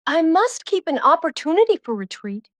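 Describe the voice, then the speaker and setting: An actress playing a poised, flirtatious, quick-witted duchess in audiobook dialogue, arch and playful. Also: female voice, very high voice, big pitch swings, no echo. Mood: playful, coy.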